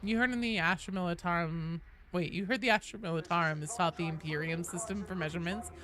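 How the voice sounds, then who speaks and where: A woman's voice: on the low side, fast talker, a bit bright. A woman hosting a casual game live stream, chatting playfully with viewers and breaking into sudden exclamations, with teasing, jokey banter. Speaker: video game streamer and host.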